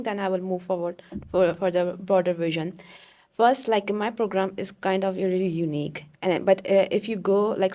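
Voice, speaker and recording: Young female guest from Pakistan, earnest, halting phrasing, mid-pitched. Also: mellow. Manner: earnest, many fillers and restarts. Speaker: guest, alumna, physical therapist. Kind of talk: podcast interview.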